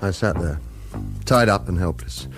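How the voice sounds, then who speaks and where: A man playing a wry, hard-boiled hero in a radio thriller, speaking in a terse, dry, husky way. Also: low-pitched, normal speed, over loud music, natural voice. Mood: tense. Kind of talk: scripted first-person radio thriller narration and dialogue.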